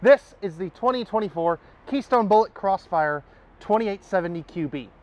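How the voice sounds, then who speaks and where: A man's voice: sits high, animated, normal speed, lo-fi sound. A man presenting an RV dealership walkthrough from inside a travel trailer, upbeat and chatty with casual asides, his voice slightly nasal from allergies. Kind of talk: product walkthrough tour.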